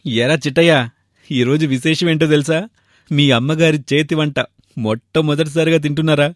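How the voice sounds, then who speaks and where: Man acting fairly high-voiced food-loving young husband. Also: fairly even intonation, normal speed, no echo, clean studio sound, close to an everyday voice. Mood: casual. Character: food-loving young husband and shopkeeper, grumbling yet tactful. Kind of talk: animated moral story dialogue.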